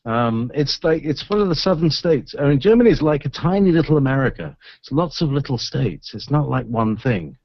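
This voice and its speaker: A man hosting a casual internet radio chat over a video call, rambling and halting with lots of fillers. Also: medium pitch, big pitch swings, dry recording, lo-fi sound.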